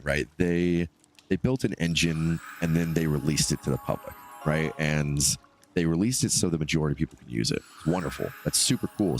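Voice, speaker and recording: A male streamer chatting casually with his viewers while he plays, stuffed up from a cold and rambling between topics. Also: very deep voice. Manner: rambling, chatty, answers chat comments. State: stuffed-up nose. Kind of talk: casual live-stream chat while gaming.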